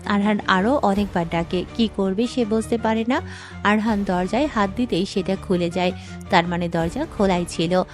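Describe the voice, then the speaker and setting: A woman reading scripted story narration as the narrator. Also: mid-range voice.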